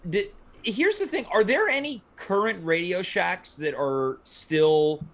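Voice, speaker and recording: A man's voice: high-pitched, lively intonation, slow pace, a bit bright. A man hosting a casual comedy talk show, bantering with dry, teasing, mock-formal remarks.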